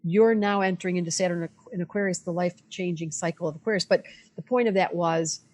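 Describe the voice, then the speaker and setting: An older woman astrologer talking bluntly in a casual conversation, animated and acting out the voices of the people she quotes. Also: on the low side, fast. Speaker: astrologer guest.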